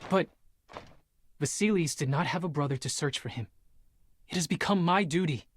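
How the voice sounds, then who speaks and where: An actor playing an earnest, driven young Spartan soldier burdened by guilt in a dramatic video game. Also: male voice, fairly high pitch, normal speed, slightly rough, no room sound, studio quality. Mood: respectful.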